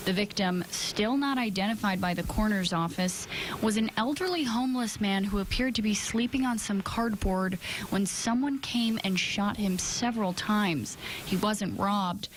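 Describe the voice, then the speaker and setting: An American woman works as a television news reporter, reading a scripted story in a mid-pitched voice. There is faint noise behind her. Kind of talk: scripted broadcast news narration.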